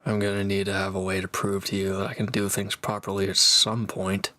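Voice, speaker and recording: Guy voicing a cocky reformed villain for audio roleplay, crisp bright edge, really dry close sound, teasing you one on one. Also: low voice, completely flat delivery, brisk, dry recording. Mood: defensive, dry. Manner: cocky, teasing, talking directly to one listener. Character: proud, teasing reformed male villain, a battle-hardened swordsman. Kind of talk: scripted audio roleplay, intimate one-sided dialogue.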